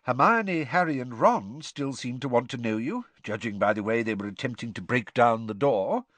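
A man voicing a wise, gently amused old male headmaster with dry wit, speaking in a measured way. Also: medium pitch, lively intonation, dry recording. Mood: wry, kindly.

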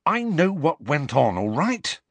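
A man's voice: on the high side, sing-song, measured pace, dry recording, pitched above the performer's own voice, heavily acted. A man reading aloud and voicing an angry teenage boy wizard, raised and insistent in his speech. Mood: heated.

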